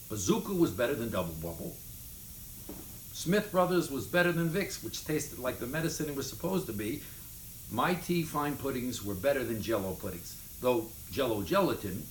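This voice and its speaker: An echoey room with faint noise surrounds a man reading memoir prose aloud. His voice sits at a medium pitch, and he delivers the lines with deliberate emphasis, stressing names and items as a storyteller would. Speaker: reader of a memoir chapter. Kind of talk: expressive live reading of humorous memoir prose. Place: indoors in a city apartment.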